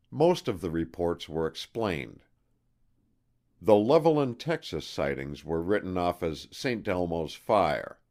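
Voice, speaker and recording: Deep-voiced male narrator with lively intonation. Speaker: audiobook narrator. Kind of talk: scripted non-fiction narration.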